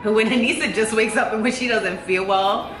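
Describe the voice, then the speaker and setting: A young woman with a low, raspy voice, chatting playfully as co-host. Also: fairly even intonation, brisk, background noise. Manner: playful, laughs often. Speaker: guest co-host. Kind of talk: casual chat. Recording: roomy echo with music behind.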